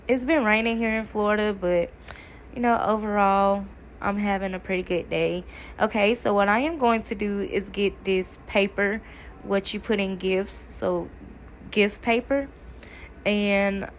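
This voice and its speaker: Woman, slightly stuffy cold voice, friendly how-to chatter, light background noise. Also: medium pitch. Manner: chatty, addresses viewers as y'all. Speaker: craft video creator. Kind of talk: casual DIY tutorial chat.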